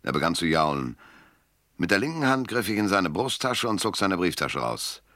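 A man's voice: sits low, sing-song, moderate pace, pushed and bright, roomy sound, heavily acted. An actor playing a sardonic, self-assured private detective in a German radio crime drama, narrating his case and talking in a dry, mocking way. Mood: dry, matter-of-fact.

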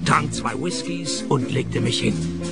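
Radio play: world-weary private eye, dry and sardonic, fry-edged, animated intonation. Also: male voice, mid-range voice, slow pace, loud music behind the voice, full character voice. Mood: deadpan narrating. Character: wry, world-weary male private detective narrating. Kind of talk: radio play narration and dialogue.

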